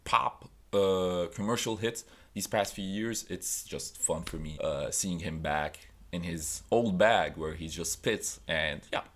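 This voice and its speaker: A man's voice: sits low, slow, hardly any pauses, a bit bright. A young man from Tunisia, a native Arabic speaker, chatting casually about music he recommends, with loose fillers and restarts. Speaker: music vlogger.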